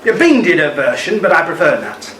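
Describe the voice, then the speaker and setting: A man's voice: fairly high pitch, big pitch swings, brisk, a bit bright, roomy sound, recorded from a distance, slight background noise. A man acting a teasing elderly husband in a radio play, with dry, bantering humor. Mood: wry. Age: older. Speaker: actor in audio play.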